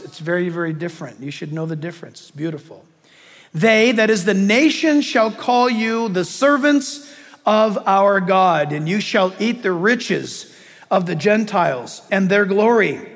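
A middle-aged man preaching a sermon, reading scripture with emphasis and dropping into hushed asides. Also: high voice. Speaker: pastor. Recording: long reverb of a large hall.

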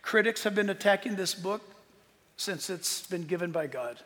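A man giving an informal, earnest preaching talk to an audience as a Bible teacher, emphatic, with dramatic pauses. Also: high-pitched, animated, pushed and bright. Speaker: Bible teacher and tour leader. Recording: long hall reverb.

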